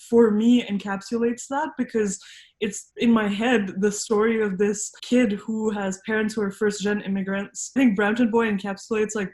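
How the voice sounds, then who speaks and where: Candid young woman in an echoey room. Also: mid-range voice. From Malaysia. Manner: candid, reflective, casual with frequent fillers. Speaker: interviewee. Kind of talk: personal storytelling interview.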